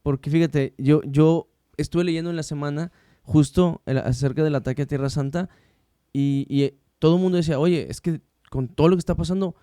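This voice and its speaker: A man's voice: a little high, steady tone, a little fast, warm tone, clean tone, dry recording, studio quality. A man hosting a casual faith podcast, chatty and slangy, with many restarts. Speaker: podcast host.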